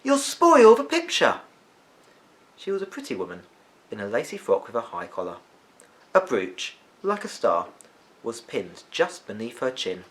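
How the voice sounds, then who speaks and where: A man reading a children's story aloud as an expressive narrator. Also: lowish voice, moderate pace, low-quality recording.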